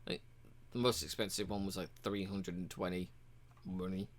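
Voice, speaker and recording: A man casually walking through a game's achievements, relaxed and rambling, with frequent ums and uhs. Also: deep voice, moderate pace. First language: English. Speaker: game reviewer.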